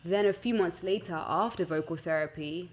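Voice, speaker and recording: A woman's voice: fairly low pitch, moderate pace. A young woman with a London accent chatting casually as an interview guest, telling stories in an informal, slangy, giggly way. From West London, UK. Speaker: singer-songwriter interview guest. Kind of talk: casual chat.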